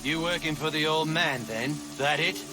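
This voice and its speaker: A bullying gang boss played by a male actor in a game cutscene. His voice is slightly high and threatening, with loud music behind it. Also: moderate pace, close to an everyday voice. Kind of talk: video game cutscene acting.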